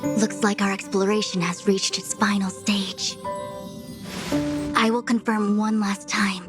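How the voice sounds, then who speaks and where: Actress as formal woman diviner, analytical, composed, medium pitch, precise phrasing, game acting, loud music underneath. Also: little pitch movement, measured pace, close to an everyday voice. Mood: serious. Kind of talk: anime-style game voice acting.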